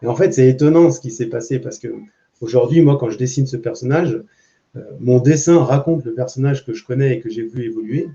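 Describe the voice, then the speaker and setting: A man's voice: medium pitch, dark tone. A man with a French accent talking casually as a comics artist on a panel, informal and hesitant as he searches for words. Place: public panel at a comics festival. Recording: muffled, dull recording.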